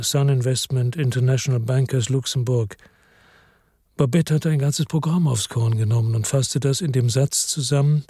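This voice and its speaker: An actor plays a weary detective with a husky voice, recorded dry and close. He narrates in a low, brooding tone and turns harsh when he argues. It sounds like a radio crime drama. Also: mellow, no room sound, close to an everyday voice. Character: weary middle-aged male detective narrator.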